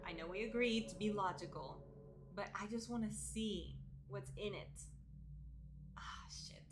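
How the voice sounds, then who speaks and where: A woman doing casual let's-play commentary, expressive, dramatic and casually sweary as she talks through a game. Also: medium pitch, unhurried. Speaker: streamer playing and reading a visual novel aloud. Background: game music.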